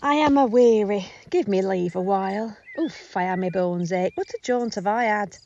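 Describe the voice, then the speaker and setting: A woman's voice: medium pitch, moderate pace, creaky, a little mellow, a little hiss or hum, natural voice. A woman playing a tired, teasing older nurse in a Shakespeare audio drama, rambling and stalling breathlessly. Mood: weary, complaining. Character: weary, teasing older female nurse, servant and go-between. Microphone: phone, recorded at home. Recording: muffled lo-fi home phone recording.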